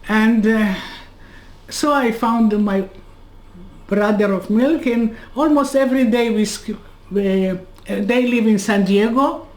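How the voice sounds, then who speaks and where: A woman in her eighties from Serbia, telling her life story in an interview, in halting non-native English full of asides. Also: on the high side, darkish tone. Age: 80s. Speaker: Holocaust survivor interviewee. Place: home room lined with bookcases. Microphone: webcam on a video call.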